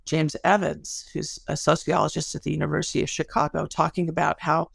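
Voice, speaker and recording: Creaky-voiced woman explaining research. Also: sits low, flat delivery, fairly quick, no echo. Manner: thoughtful, careful, self-correcting. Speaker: podcast guest, academic researcher. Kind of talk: explanatory interview conversation.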